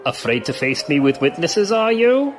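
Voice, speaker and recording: An actress playing a scornful, taunting noble duelist in an audio drama, with sneering, drawn-out delivery. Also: female voice, low-pitched, very animated, faint music behind.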